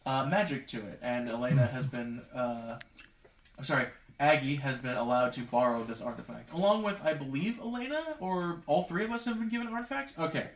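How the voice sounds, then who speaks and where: A man's voice: mid-range voice, brisk. A man casually retelling a roleplaying game session to his group, hesitating and slipping into bits of acted-out dialogue. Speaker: player recapping a tabletop roleplaying game. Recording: echoey room, background noise, clicks.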